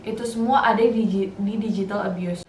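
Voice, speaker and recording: A woman's voice: medium pitch, fairly even intonation, moderate pace, a little mellow. An eighteen-year-old female student, giving her views in a casual discussion. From Indonesia. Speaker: university student. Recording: echoey room, distant mic, faint noise.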